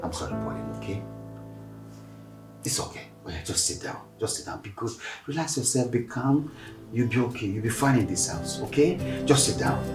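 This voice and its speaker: A secretive father with a coaxing, hushed delivery. His voice moves up and down a great deal, and he speaks slowly and deliberately, dropping to near-whispers and then rising again. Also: mid-range voice, sing-song, over loud music. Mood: soothing. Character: secretive scheming father comforting his daughter.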